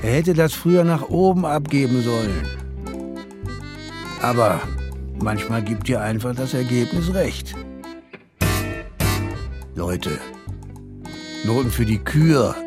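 An actor playing a determined, self-assured police detective in a radio drama, resolute and self-justifying. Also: male voice, medium pitch, animated, slow pace, long pauses, music in the background.

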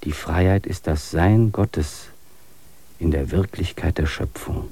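Low husky man musing aloud. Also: takes it slowly, warm tone. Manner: earnest, musing, philosophical. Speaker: main character and reflective narrator. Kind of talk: classic radio play acting.

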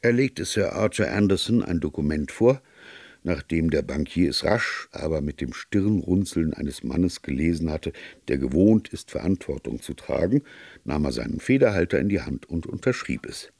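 A man's voice: extremely low pitch, expressive, moderate pace, gravelly, a little mellow, no echo. A man reading literary fiction aloud as an audiobook narrator, in scripted storytelling style.

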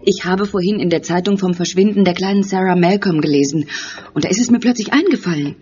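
A woman's voice: lowish voice, quick pace, warm tone, breathy edge. Earnest, insistent middle-aged lady, muffled. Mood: earnest revelation. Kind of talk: radio play acting. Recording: muffled studio recording.

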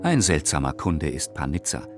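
A man giving a theatrical, deliberate reading of letters and quotations for a radio play. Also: rumbling low, big pitch swings. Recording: dry studio radio production with music.

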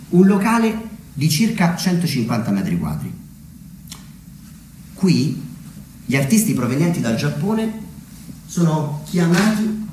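A man delivering a scripted theatrical monologue as a storyteller, measured, with deliberate dramatic pauses. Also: mid-range voice, darkish tone. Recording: very echoey room, distant mic, slight noise.

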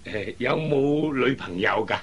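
An actor playing a genial, old-fashioned older father in a Cantonese radio drama, speaking in a drawn-out, avuncular way with a husky voice. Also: mid-range voice, expressive, dull audio, a crowd in the background. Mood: genial, probing.

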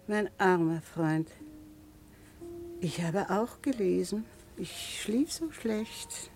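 An actress playing a wistful boat-rental woman in a lyrical radio drama, speaking in dreamy, measured phrases. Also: medium pitch, animated, a little mellow, soft background music. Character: dreamy, wistful woman who rents out boats.

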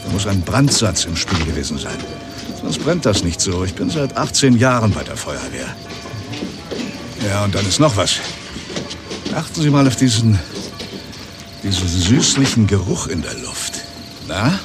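Middle-aged fire chief, radio drama, lowish voice, music behind, matter-of-fact and emphatic. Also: male voice. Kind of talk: German radio drama acting.

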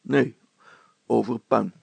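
A man voicing a blunt, down-to-earth, dry-witted fellow in a story, matter-of-fact and deadpan. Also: medium pitch, slow, vocal fry, husky, voice pushed down, full character voice. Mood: dry, sober.

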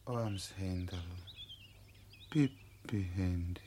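An actor playing a plaintive, sentimental crook with a Viennese accent in a comic radio play, lamenting in a drawn-out way. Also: male voice, low-pitched, warm tone, slight background noise. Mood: pitying.

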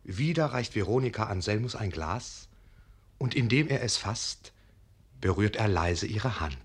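A man's voice: fairly low pitch, expressive, measured pace, breathy edge. A man narrating a literary fairy tale in a scripted, storytelling style for a radio play.

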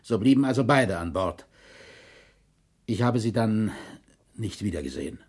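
Sober, shaken shipwreck survivor, measured. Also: male voice, lowish voice, animated, a little mellow, slightly rough. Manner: measured, formal, sober witness testimony. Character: male colonel and historian, shaken shipwreck survivor testifying. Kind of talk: documentary radio drama testimony.

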